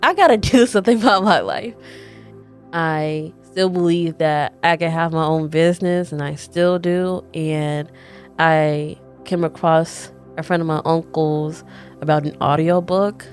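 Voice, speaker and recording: A young woman telling her own story as a podcast guest, chatty and lively, quoting herself often. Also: low-pitched, soft background music. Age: young adult. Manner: chatty, quick bursts, frequent "like" and quoted self-talk. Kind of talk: casual personal storytelling.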